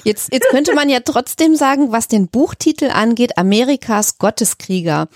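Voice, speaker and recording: A woman's voice: mid-range voice, darkish tone, no echo, studio quality. A woman co-hosting a conversational podcast interview. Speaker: podcast co-host.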